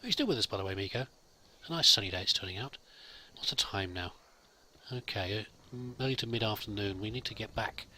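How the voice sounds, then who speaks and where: Bright-voiced man narrating a game roleplay. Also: on the low side, lively intonation, fast. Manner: musing aloud, addressing a companion. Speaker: let's play host. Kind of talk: improvised in-character game commentary.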